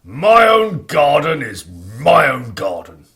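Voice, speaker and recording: A man's voice: a little high, very animated, slow, gravelly, pushed and bright, full character voice. A man playing a grumpy, selfish, blustering giant in a theatrical children's story. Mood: indignant, gruff. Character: grumbling, selfish old male giant, loud and bullying.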